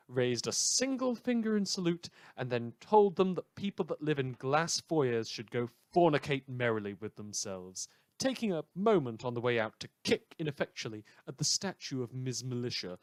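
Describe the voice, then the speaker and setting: Sardonic male community-radio newscaster, acted by a man, middle-pitched, wry and theatrical, rambling asides, very dry recording. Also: no room sound, natural voice. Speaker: radio news host. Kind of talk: satirical scripted radio news monologue.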